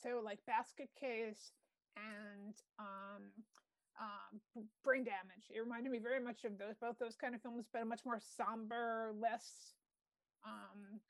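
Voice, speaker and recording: Female host, New York accent, slightly high voice, loud and outgoing, chatty, dry close sound. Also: steady tone, a little slow, dry recording. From New York, United States. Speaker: show host. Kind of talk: casual chat.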